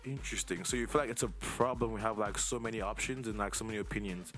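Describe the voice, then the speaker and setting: Male host, twenties, from Nigeria. Rapid, slightly low-voiced, loose and rambling conversational questioning, light music underneath. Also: fairly bright tone. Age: 20s. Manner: informal, rambling, circles around his questions. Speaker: host interviewing a younger relative. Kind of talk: casual conversational interview.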